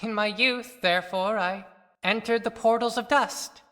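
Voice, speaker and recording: A woman's voice: fairly high pitch, fairly even intonation, a bit bright. A woman playing a wronged young wife who speaks solemnly from the grave in a poetic audio play. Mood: solemn, accusing. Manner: solemn, deliberate, declamatory. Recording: long hall reverb.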